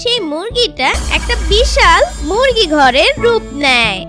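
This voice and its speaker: Very high, animated children's story narrator. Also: measured pace, music in the background.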